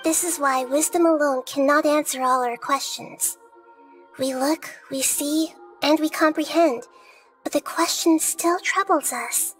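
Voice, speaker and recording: A wise child goddess from a video game. Her voice is high and gentle. She speaks in measured, solemn phrases like a storyteller, over music. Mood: gentle reassuring. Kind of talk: scripted video game dialogue.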